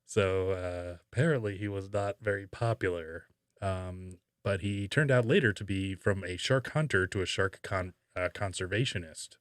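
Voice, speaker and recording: Male podcast host, low register, casual and halting, dry close sound. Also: a bit bright, no echo. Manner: halting, thinking aloud. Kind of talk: casual conversational podcast talk.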